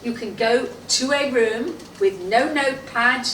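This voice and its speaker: A woman's voice: fairly high pitch, a little slow, a bit bright. Chatty woman, echoey noisy room. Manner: chatty, many ums, laughs lightly. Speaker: guest speaker, art academic. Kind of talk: answering an audience question. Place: gallery or club room with audience. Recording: echoey room, distant mic, noisy background.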